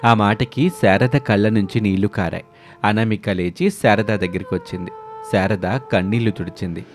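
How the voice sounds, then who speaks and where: A man tells a cartoon story as scripted narration. His voice sits at a middle pitch. Music plays underneath. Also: fairly even intonation, moderate pace.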